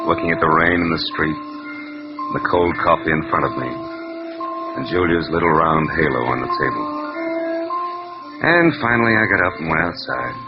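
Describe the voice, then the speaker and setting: An American actor playing a world-weary, sardonic male private detective in a 1940s radio noir drama. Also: extremely low pitch. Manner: clipped, sardonic, tough. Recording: dull vintage radio recording with music.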